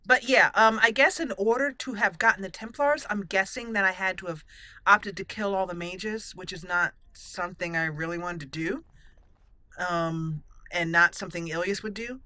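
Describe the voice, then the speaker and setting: Chatty lady hosting a let's play, bright lowish voice, thinking out loud, dry close mic. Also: normal speed, dry recording. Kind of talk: casual roleplay game commentary.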